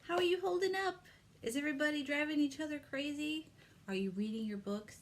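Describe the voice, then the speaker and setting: A woman's voice: sits high, fairly quick. A woman hosting a children's storytime as a librarian, reading expressively and addressing young listeners with questions and exclamations.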